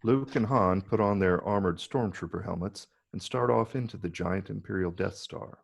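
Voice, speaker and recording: A man with a gravelly, husky voice reading scripted screenplay narration. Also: low voice, normal speed. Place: virtual call from separate location.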